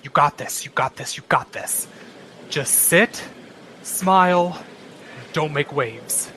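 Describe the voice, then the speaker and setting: An actor playing a nervous young office temp in a radio play, hesitant and halting. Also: male voice, fairly high pitch, pushed and bright, slight background noise. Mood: self-soothing.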